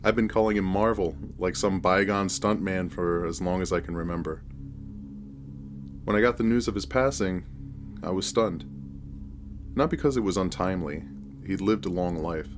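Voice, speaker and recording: A man narrating a scripted first-person horror story in a measured, deliberate storytelling manner. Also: deep voice, over music.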